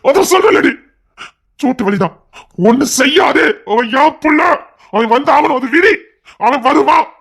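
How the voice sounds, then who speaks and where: A man plays a controlling husband in a heated melodrama, with a husky voice and rapid delivery. He insists over and over, coaxing in hushed tones and scolding with agitation, an anxious father-to-be who will not be argued with. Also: high-pitched, expressive, exaggerated voice. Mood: agitated pleading. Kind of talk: emotional melodrama dialogue.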